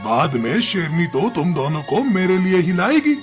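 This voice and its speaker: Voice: mid-range voice, very animated, normal speed, close to an everyday voice. An actor voicing a menacing, swaggering animal predator in a children's cartoon fable, with exaggerated delivery. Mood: doubtful, haughty. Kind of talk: cartoon story voice acting. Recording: music behind the voice.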